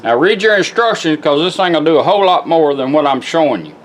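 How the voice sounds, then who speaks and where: A man with a slightly high voice gives a casual hands-on tutorial. He is plainspoken and folksy, instructing one step at a time, with music clearly present in the recording. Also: expressive, fast, low-quality recording. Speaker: product demonstrator and car radio rebuilder.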